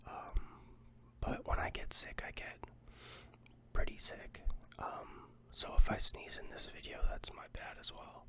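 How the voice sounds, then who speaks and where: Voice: measured pace, a bit bright. A person in a fully unvoiced ASMR whisper, a little stuffy from a cold, rambling casually with many ums into a close microphone. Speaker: ASMR vlogger.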